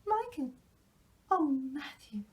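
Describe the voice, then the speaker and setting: A woman voicing an excitable, dreamy young girl from a storybook, with breathy, gushing exclamations. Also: high-pitched, slow pace, pitched above the performer's own voice, full character voice. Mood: overwhelmed delight.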